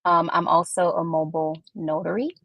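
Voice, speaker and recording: A woman from Puerto Rico speaks as a podcast guest, with a lowish voice for a woman. She talks in a measured way and explains things step by step. Her voice is recorded dry and close. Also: fairly even intonation, vocal fry, slightly rough, no echo, muffled recording. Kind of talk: conversational interview answers.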